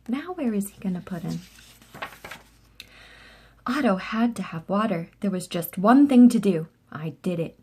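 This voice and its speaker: A woman reading a picture book aloud to young children as an expressive storyteller. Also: mid-range voice, husky.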